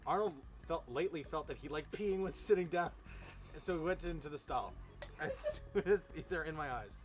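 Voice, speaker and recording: A man casually reading a story aloud outdoors, stumbling over words and breaking off into informal, profane asides. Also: a little high. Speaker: host reading fan fiction aloud. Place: outdoors beside a fire.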